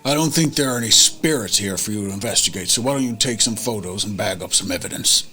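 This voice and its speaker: A man's voice: fairly low pitch, quick pace, sharp tone, slight background noise. A man playing a stern senior police officer who scolds and orders a subordinate around.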